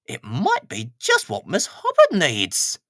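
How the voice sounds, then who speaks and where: A woman's voice: deep voice, wildly swooping pitch, slow, fairly bright tone, no echo, clean studio sound, exaggerated voice. A woman voicing a friendly, cheerful male village postman in a children's storybook.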